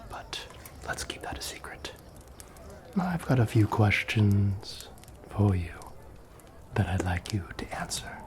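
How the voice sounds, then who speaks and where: A man playing a corrupt, wheedling town guard in a fantasy ASMR roleplay, speaking softly and often dropping to a whisper, insinuating and mock-polite. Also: on the low side, big pitch swings, takes it slowly, long pauses. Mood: hushed, sly.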